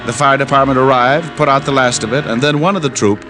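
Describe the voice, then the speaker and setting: An actor playing a wry, world-weary private detective in a hardboiled old radio drama. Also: male voice, mid-range voice, fairly even intonation, quick pace, music in the background, natural voice. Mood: grim, narrating. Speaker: lead actor and narrator.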